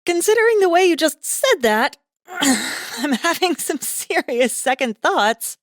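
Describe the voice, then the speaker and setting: A woman's voice: high voice, animated, slow, no echo, pitched above the performer's own voice. A woman voicing a nineteen-year-old college girl, nervous and flippant, with hesitant, rambling, slangy speech. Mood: nervous, joking.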